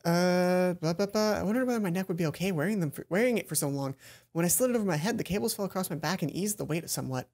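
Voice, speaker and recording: A man's voice: high-pitched, quick pace, a little mellow. A young man streaming a visual novel, reading the story aloud on a headset mic with playful, stumbling asides. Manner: playful, self-mocking, stumbles over words. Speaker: let's play streamer. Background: game music.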